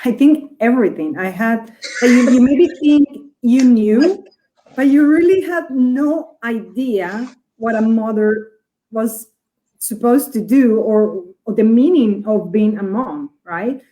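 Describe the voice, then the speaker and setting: Mellow-voiced woman from Colombia. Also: a little high, expressive, slow pace, a little hiss or hum, low-quality recording. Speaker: guest. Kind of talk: casual chat. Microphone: video call.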